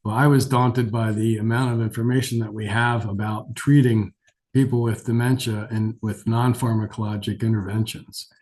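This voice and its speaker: A man's voice: lowish voice, steady tone, moderate pace, darkish tone. A man with a cold and a scratchy voice gives a conversational, self-deprecating webinar lecture as a physician, speaking a little hesitantly. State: has a cold. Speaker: geriatric physician giving a lecture.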